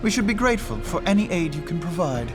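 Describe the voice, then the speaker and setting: An earnest young noble scholar from a fantasy game, sharp-witted and direct. His fairly high voice is animated and precise, pressing his point with lively inflection, over faint music. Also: fast.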